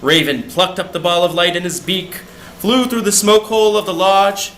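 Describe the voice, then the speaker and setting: A man's voice: high-pitched, steady tone, moderate pace, fairly bright tone. A man narrating a folk tale for children in simple, short phrases. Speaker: storyteller. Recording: echoey room, faint background noise.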